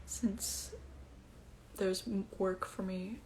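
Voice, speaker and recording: A woman's voice: mid-range voice, steady tone, drawn-out words, a little mellow, slight background noise, rough recording. Breezy young woman. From Iceland. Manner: chatty and breezy, with many fillers. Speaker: singer hosting a livestream. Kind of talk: casual livestream chat answering comments. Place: at home, indoors.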